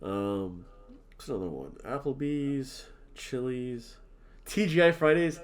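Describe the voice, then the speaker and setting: A man's voice: mid-range voice, lively intonation, takes it slowly. Sniffly nasal man rambling casually. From New Jersey. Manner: rambling, joking, drinking while talking. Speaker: podcast co-host. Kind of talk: casual chat.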